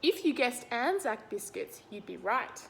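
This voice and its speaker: Higher-voiced Australian woman reading to children. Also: measured pace, slight background noise. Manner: expressive, questioning, addresses young listeners directly. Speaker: read-aloud presenter for young children. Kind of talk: picture-book read-aloud with simple explanations to children.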